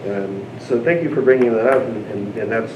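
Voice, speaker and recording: A man speaking for an advocacy group at a community panel, earnest and halting, with lots of fillers and restarts. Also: medium pitch, highs cut off. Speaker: civil liberties group representative. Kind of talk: panel moderation remarks. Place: event room at a community panel. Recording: echoey, distant, noisy.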